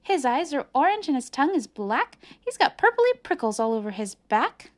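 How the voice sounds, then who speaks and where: A woman's voice: high voice, very animated, moderate pace, voice pushed up. A woman voicing a small, clever, brave storybook mouse, polite and boastful in a prim, exclamatory way. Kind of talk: children's storybook character voice.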